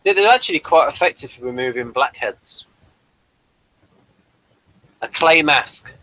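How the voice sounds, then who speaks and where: A man hosting a casual review show, explaining things in a halting, conversational way. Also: a little high, lively intonation, bright, forceful tone, dry recording, rough recording.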